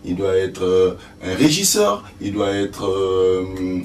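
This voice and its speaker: An older man from Congo, an actor and stage director answering interview questions slowly with many fillers. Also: sits low, sing-song, a little mellow. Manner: slow, hesitant, many fillers. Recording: echoey room, distant mic, faint noise.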